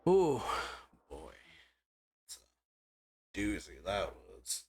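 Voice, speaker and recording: A man's slow, gravelly voice murmuring wry reactions while watching a game cutscene. His pitch wanders a lot and faint game music sits behind him. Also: huge pitch swings, mellow. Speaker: reaction channel host. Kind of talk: casual reaction commentary.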